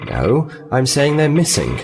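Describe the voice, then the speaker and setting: A man's voice: mid-range voice, wildly swooping pitch, a little slow, vocal fry, over music, full character voice. A man playing an earnest, diplomatic lieutenant in a sci-fi audio drama, polite and composed as he explains. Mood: grave, earnest. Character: earnest, diplomatic male starfleet lieutenant, liaison officer.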